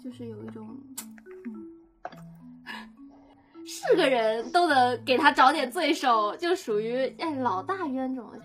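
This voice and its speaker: A woman's voice: sits high, animated, unhurried, pauses often. A woman chatting casually in a blunt, wry, teasing way. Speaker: radio drama production crew member. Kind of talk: casual chat. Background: music.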